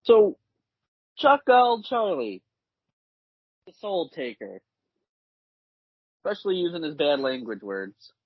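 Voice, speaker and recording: A man with a lively, bouncing delivery and a slightly husky, higher voice, chatting as podcast co-host. The sound is dry and a bit dull, like lo-fi home recording. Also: takes it slowly, long pauses, no echo. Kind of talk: casual chat, recapping wrestling results.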